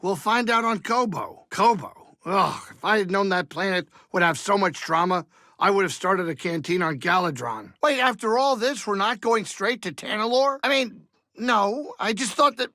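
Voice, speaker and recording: An actor voicing a grumpy, wisecracking older alien pilot and cook in a video game, sarcastic and blustery. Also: male voice, sits high, lively intonation, normal speed, sharp tone, no room sound, studio quality. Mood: exasperated, grumbling. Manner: sarcastic, blustery, grumbling banter with exasperated outbursts. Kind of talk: video game character dialogue.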